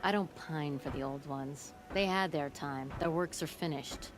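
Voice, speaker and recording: A low, blunt voice of a proud forge boss woman in middle age. She boasts with gruff, folksy humor in the manner of a game character. Music plays clearly underneath. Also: unhurried. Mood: boastful, amused. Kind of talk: video game character acting.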